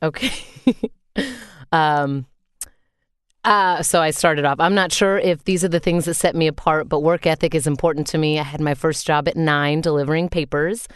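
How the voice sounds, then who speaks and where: A woman with a low, deep voice reads out her own written answers in an earnest manner, adding small asides as she goes. Her tone is exceptionally clear and smooth, and the recording is dry and close with no room sound. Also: steady tone, normal speed, dry recording. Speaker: voice actor.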